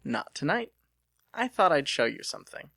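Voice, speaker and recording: A man's voice: on the high side, big pitch swings, normal speed, gravelly, sharp tone, no echo, studio quality, pitched above the performer's own voice, exaggerated voice. A man voicing an earnest, plain-spoken young mechanic and smitten suitor in an audiobook. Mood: pleased. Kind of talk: dramatic audiobook character reading.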